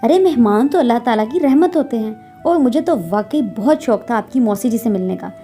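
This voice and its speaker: An earnest young daughter-in-law from a family storybook drama, played by a woman. Her voice is high and soft-edged, expressive, set over music. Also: a little fast. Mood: earnest, eager. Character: polite, eager young daughter-in-law, growing exasperated.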